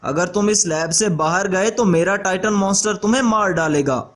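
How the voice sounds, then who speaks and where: A man's voice: high-pitched, normal speed, fairly bright tone. A man voicing a greedy, vengeful traitor scientist in a children's story, boastful and threatening. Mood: threatening, commanding.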